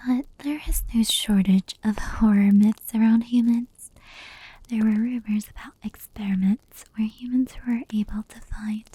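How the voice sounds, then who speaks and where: A woman playing a gentle fox-demon yokai in an ASMR roleplay, telling stories slowly and intimately in a soft, breathy whisper. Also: a little high, steady tone, rough recording. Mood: hushed storytelling. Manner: slow, intimate, unhurried.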